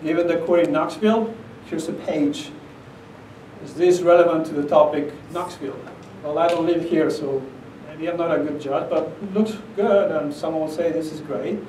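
A man's voice: on the high side, moderate pace, mellow, a little hiss or hum. A middle-aged man from Argentina with an Argentine accent gives an emphatic, conversational conference talk, often checking in with "okay?". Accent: Argentine Spanish. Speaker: conference speaker. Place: conference room with projector screen. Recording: echoey conference room, captured from a distance.

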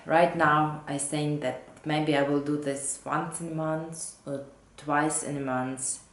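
A woman in her thirties with English as a second language, hosting a knitting podcast at home and sometimes halting to find her words. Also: low voice, level tone. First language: not English, not Danish. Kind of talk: casual chat to camera. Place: living room at home, indoors. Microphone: camera or laptop mic at desk distance. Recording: roomy home echo.

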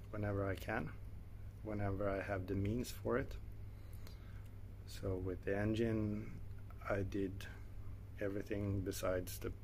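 A man in his thirties with a Swedish accent, talking casually to a phone camera in a garage, with many pauses and fillers. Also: lowish voice, darkish tone, slight background noise. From Sweden. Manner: halting, many fillers and self-corrections. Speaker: car hobbyist vlogger. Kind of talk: casual selfie vlog. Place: indoor garage. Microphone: phone held at arm's length.

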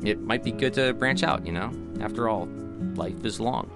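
An actor playing a reflective young man who narrates his memories of a formative summer in a measured, wistful way for an audio drama. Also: lowish voice, over loud music, natural voice.

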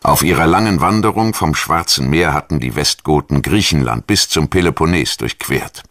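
Very deep-voiced male documentary narrator. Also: animated, moderate pace, a bit bright, no room sound. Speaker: documentary narrator and voice-over translator.

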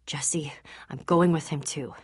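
An actress playing a brave, plucky young woman warrior in a video game, dazed and forgetful, halting as she searches for memories. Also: low-pitched, steady tone, slightly rough, no room sound, studio quality, full character voice. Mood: weak, worried. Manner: halting, searching for memories, then bursting out eagerly.

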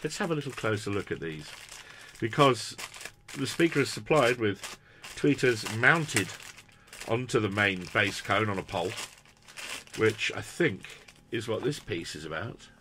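A British man in his fifties with a slightly low, bright and forceful voice, talking through an unboxing. He rambles chattily and pokes fun at himself. There is some noticeable background noise on the recording. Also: expressive, measured pace. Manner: chatty, rambling, self-deprecating. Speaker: presenter and product reviewer. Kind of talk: casual unscripted unboxing commentary. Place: small cluttered room indoors.

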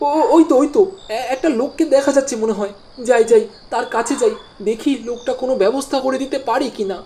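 Cartoon casting: a woman as a worried young village son, high voice, hesitant muttering, noisy background. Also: quick pace, mellow, lightly acted. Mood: hopeful. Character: anxious young village son, dutiful and puzzled. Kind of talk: cartoon dialogue acting. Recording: clear background noise.

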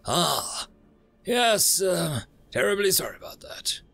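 A man's voice: high-pitched, big pitch swings, slow, vocal fry, fairly bright tone, faint music behind, pitched above the performer's own voice, full character voice. A man voicing the universe as a hesitant, polite, apologetic cosmic being in a sci-fi story reading. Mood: embarrassed.

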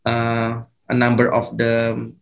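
A man with an Indonesian accent, a native Indonesian speaker, giving an online academic lecture in a halting way, full of fillers and restarts. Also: mid-range voice, monotone, creaky, faint music behind. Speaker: guest lecturer.

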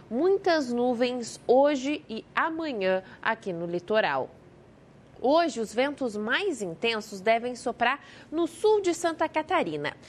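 A young woman with a Brazilian Portuguese accent presenting a live TV weather forecast in a studio, explaining in a lively, conversational way. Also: on the high side.

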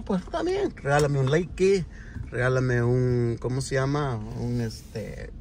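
A man giving casual advice from behind the wheel, rambling and filling his talk with "men" and "¿verdad?". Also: mid-range voice. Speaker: vlogger. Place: inside a car, driving. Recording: distant phone mic with loud road noise. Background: car and road noise.

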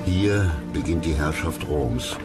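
A man's voice: a bass voice, expressive, slow pace, loud music behind the voice. A man reading scripted documentary narration.

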